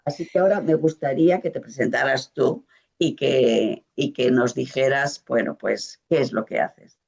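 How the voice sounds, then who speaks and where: Gravelly, husky male host. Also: medium pitch, normal speed, a little mellow, muffled recording. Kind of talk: conversational interview introduction.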